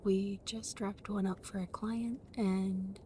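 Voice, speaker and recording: A woman playing an affectionate, playfully teasing young friend in an ASMR roleplay, speaking in a soft, breathy, often whispered voice with a gentle, intimate manner. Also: mid-range voice, little pitch movement, slow pace, a little hiss or hum, natural voice. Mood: warm, interested.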